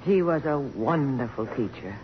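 A woman's voice: rumbling low, lively intonation, moderate pace. An actress playing a polished, commanding middle-aged woman of accomplishment in 1950s radio drama style. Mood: wistful. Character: poised, strong-willed middle-aged woman, accomplished author and dignitary. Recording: dull, noisy vintage radio recording.

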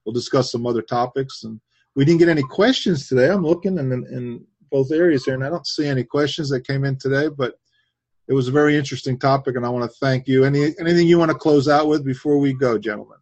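Husky man hosting with a New Jersey accent. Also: mid-range voice, darkish tone. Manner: hesitant, halting. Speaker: host. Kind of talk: casual religious talk show. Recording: dull lo-fi sound.

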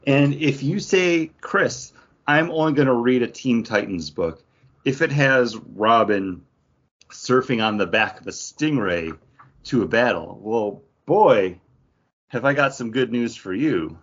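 A man's voice: medium pitch, animated, measured pace, a bit bright, muffled recording. A man co-hosting a podcast, chatting casually and enthusiastically as he reviews, sometimes slipping into imagined quotes. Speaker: podcast co-host reviewing comics.

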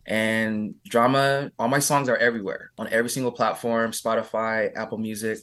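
A man's voice: lowish voice, flat delivery, normal speed, fairly bright tone. An American man, a pop singer from California, chatting informally and effusively as an interview guest. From California, USA. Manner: gushing, informal, with frequent "like" and "you know". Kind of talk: casual interview chat.

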